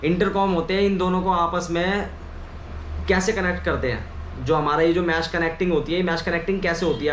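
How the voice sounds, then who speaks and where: A man giving an informal hands-on tutorial, explaining things step by step and often repeating himself. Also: a little high. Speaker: video creator giving a how-to. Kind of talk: casual hands-on tutorial chat. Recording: clearly noisy ambient background.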